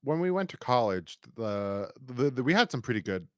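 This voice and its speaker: A man's voice: mid-range voice, big pitch swings, moderate pace, clean tone, dry recording, clean studio sound. An American man hosting a podcast, chatting casually and wordily, with stammering restarts. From United States. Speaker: podcast host. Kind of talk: casual review podcast chat.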